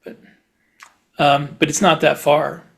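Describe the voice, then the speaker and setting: A man's voice: fairly high pitch, flat delivery, slow pace, gravelly, background noise. A man chatting casually as a podcast host, rambling through anecdotes.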